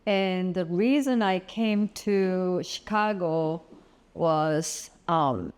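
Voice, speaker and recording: A middle-aged woman from South Korea, Korean her first language, speaks as an interview guest. Her voice sits low for a woman and her delivery is very slow. She stops often and fills gaps with soft hesitations. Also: smooth. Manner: slow, hesitant, many pauses and fillers. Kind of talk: casual interview. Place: home studio.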